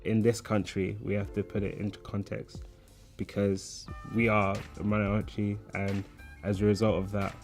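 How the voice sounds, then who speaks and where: A twenty-year-old man, a photography student speaking slowly and emphatically in a documentary interview. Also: fairly low pitch, monotone, soft background music.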